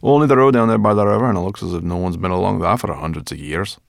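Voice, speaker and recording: A man's voice: deep voice, fast talker, creaky, dry recording, studio quality. A man voicing a grumpy, bossy female brownie creature with a cross, sarcastic, growling manner. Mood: brisk.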